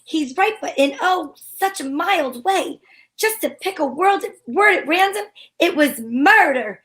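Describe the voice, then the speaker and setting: A woman's voice: extremely high pitch, lively intonation, a little slow, a bit bright, exaggerated voice. A woman playing a breezy female private investigator in an amateur reading of an old-time radio play. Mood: wry narration.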